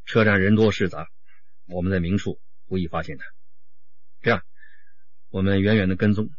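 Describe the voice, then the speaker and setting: A man's voice: sits low, fairly even intonation, moderate pace, lo-fi sound. A man playing a probing, assured police detective in a radio drama, questioning and emphatic. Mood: commanding.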